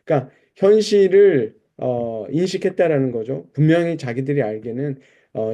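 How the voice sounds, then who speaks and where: Man, preacher, conversational Bible teaching, explanatory, rhetorical questions, slightly high-set pitch, creaky fry. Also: mellow. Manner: explanatory, poses questions to listeners.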